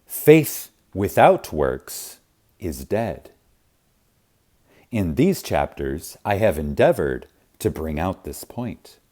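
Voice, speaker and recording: Slow, deep-voiced man reading reverently. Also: sing-song, mellow. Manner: reverent, emphatic, with deliberate pauses. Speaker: audiobook reader. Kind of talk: scripted narration of a spiritual self-help audiobook.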